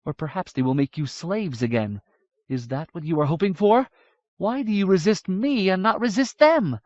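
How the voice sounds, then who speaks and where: A man's voice: high-pitched, lively intonation, moderate pace, darkish tone, dry recording, voice pushed up. A man voicing a defiant young woman who scolds and pleads with urgent force. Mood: accusing. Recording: dull dry audiobook recording.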